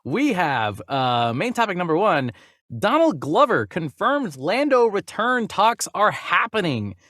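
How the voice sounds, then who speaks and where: A man's voice: high voice, very animated, measured pace, fairly bright tone, no echo, clean studio sound. A man co-hosting a casual movie news podcast, chatty and enthusiastic in a geeky way. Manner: chatty, enthusiastic self-described geek. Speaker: podcast co-host.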